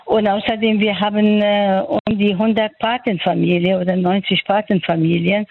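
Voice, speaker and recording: A woman's voice: medium pitch, flat delivery, no room sound. Older woman, Afghan accent, muffled sound. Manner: halting, searching for words. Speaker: doctor, interviewee. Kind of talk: spontaneous interview answers.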